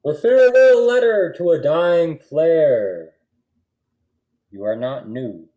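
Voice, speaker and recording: A man's voice: fairly high pitch, lively intonation, slow, dark tone. A man performing a theatrical political monologue in an earnest, emphatic, oratorical manner. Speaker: solo performer.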